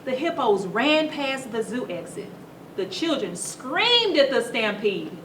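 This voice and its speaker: A woman reading a children's story aloud to schoolchildren in a teacherly, animated way, stopping to put questions to them. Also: high-pitched, unhurried. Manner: teacherly, asks the listeners questions. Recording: roomy echo, distant mic, noisy background.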